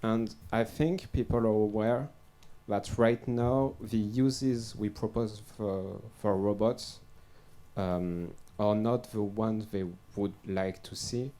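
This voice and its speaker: Young male researcher on a panel, low and even delivery, hesitant. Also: slow. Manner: hesitant, many fillers and restarts. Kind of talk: panel discussion answer.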